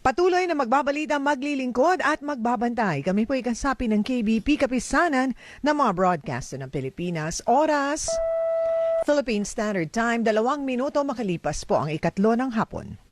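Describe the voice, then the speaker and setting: A woman's voice: a little high, animated, moderate pace. A woman with a Filipino accent reading a scripted radio station announcement and time check.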